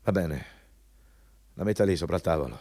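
An actor playing a bullying, scornful company recruiter in a radio drama, dismissive and contemptuous. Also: male voice, low voice, expressive, brisk, mellow, no room sound. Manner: scornful, dismissive, barking questions. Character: contemptuous, bullying male company recruiter, foul-mouthed anti-communist.

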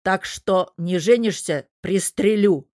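A woman voicing a gruff older father, a stern but amused tough boss who needles, in a story reading. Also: on the low side, a little slow, darkish tone, no echo, clean studio sound. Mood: mock threat.

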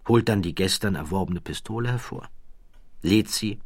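A man giving scripted documentary narration in a measured, grave storytelling manner, dropping to a hush at tense moments. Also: fairly low pitch, slightly rough, no room sound.

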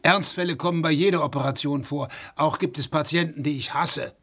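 An actor playing a blunt, skeptical surgeon who questions probingly. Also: male voice, fairly high pitch, fairly quick, fairly bright tone. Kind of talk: radio play acting.

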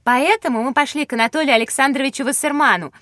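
An actress playing a polite, inquisitive school-age child, earnest and courteous, in an educational audio drama. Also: female voice, high voice, quick pace, a bit bright, no room sound, studio quality. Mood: eager, polite.

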